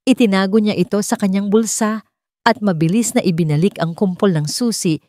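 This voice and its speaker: A woman's voice: mid-range voice, brisk, dark tone, no echo. A woman narrator reading a scripted, dramatic love story.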